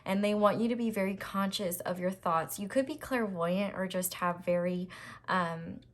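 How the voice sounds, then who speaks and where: A woman with a slightly raspy voice doing a casual, reassuring tarot reading to camera, with frequent ums and restarts. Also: mid-range voice, normal speed. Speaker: tarot reader.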